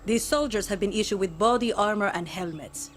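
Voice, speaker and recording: A woman's voice: medium pitch, a little hiss or hum, close to an everyday voice. A woman playing a wry, tough rebel ex-soldier. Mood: brisk. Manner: brisk, instructive, wry. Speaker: guide giving mission briefings. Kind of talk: video game cutscene acting.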